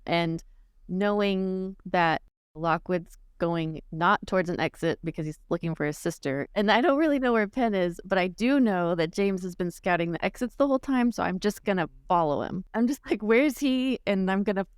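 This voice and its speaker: A woman's voice: medium pitch, lively intonation, moderate pace, clear, resonant tone, no echo, clean studio sound. A woman playing a tabletop roleplaying game, speaking casually and improvising what her character does.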